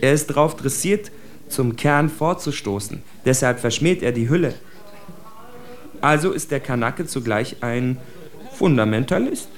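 A man's voice: medium pitch, lively intonation, a little slow, close to an everyday voice. An actor playing a bitter, analytical spokesman for outcasts, declaiming an articulate literary monologue for radio. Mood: bitter, emphatic. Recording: faint music under the voice. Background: faint music.

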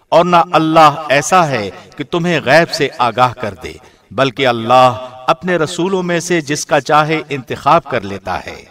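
A man giving a formal, scripted reading of a scripture translation in a measured, solemn manner. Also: mid-range voice, a bit bright. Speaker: translation reader, radio host.

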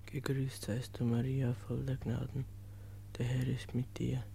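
An actor playing a devout worshipper in a radio play, reciting a prayer in a hushed, creaky voice. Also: male voice, mid-range voice, monotone, measured pace, heavily acted. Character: devout worshipper praying the Hail Mary. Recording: music over the voice.

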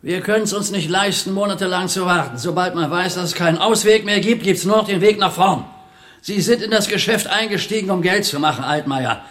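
A man's voice: sits high, a little fast, fairly bright tone. An actor playing a shrewd, unflappable, coolly decisive man in a German radio drama. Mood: firm, sardonic.

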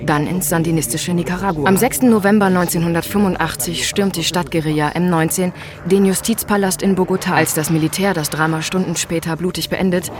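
A woman narrating a scripted documentary as its narrator. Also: fairly low pitch. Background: music.

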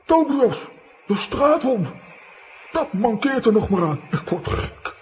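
An actor playing an excitable, blustering comic hero in a children's audio play, with theatrical exclamations. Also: male voice, very high-pitched, takes it slowly, dull audio, a little hiss or hum, full character voice. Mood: panicked.

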